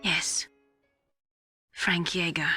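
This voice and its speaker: An actress playing an anguished, secretive woman geneticist in a video game cutscene, confessing in a measured, hesitant and sometimes hushed way. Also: lowish voice, fairly even intonation, breathy edge, dry recording, studio quality, exaggerated voice. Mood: grieving, bitter. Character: anguished, secretive female geneticist confessing her orphan past and revenge. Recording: dramatic music under the voice. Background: dramatic game score.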